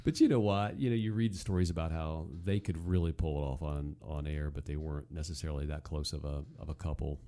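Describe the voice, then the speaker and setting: Male talk host, deep warm voice, relaxed casual commentary, halting delivery that often rephrases. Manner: halting, circles back and rephrases.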